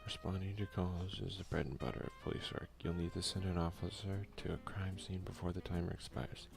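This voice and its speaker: A young man doing a soft-spoken ASMR let's play, often slipping into whispers as he comments and reads out game text close to the microphone. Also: low-pitched, fairly even intonation, a little fast, creaky, music in the background. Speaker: gaming video creator. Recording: faint noise behind a home recording.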